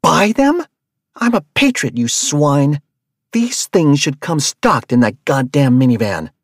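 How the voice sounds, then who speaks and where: Storybook: hot-headed woman sellsword, voiced by a man, brash and yelling, wildly swinging pitch. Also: big pitch swings, brisk, no echo. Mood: furious. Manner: yelling insults, brash and loud. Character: brash foul-mouthed woman mercenary, hot-tempered.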